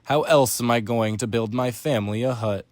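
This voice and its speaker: A man's voice: medium pitch, moderate pace, no room sound, natural voice. A man voicing a dismissive, unconcerned truck driver in a rhyming children's story. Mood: defiant. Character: dismissive male truck driver cutting trees for his family.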